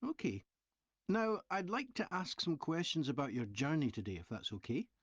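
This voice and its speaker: An actor playing a polite train company representative asking survey questions in a scripted listening-test dialogue, clearly enunciated. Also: medium pitch, very animated, normal speed, no room sound, dull audio. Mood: polite, inquiring.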